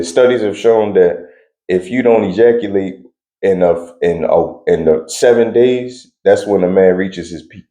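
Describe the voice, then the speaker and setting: A man's voice: low-pitched, warm tone, echoey room. A young man answering interview questions in a laid-back, blunt way with slang and frequent laughs. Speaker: interview guest.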